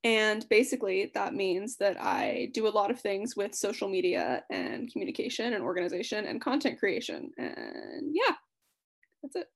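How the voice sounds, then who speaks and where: A woman's voice: medium pitch, sing-song, moderate pace, vocal fry. A woman in church communications, talking casually and giggly, with frequent "like". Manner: giggly, casual, says "like" often. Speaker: assistant director of communication.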